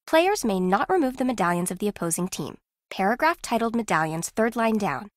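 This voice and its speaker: A woman playing an aloof, competitive, secretive contestant in a video game, with a deadpan, clipped manner. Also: mid-range voice, a little fast, no room sound, close to an everyday voice. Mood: cool, dismissive. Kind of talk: video game character acting.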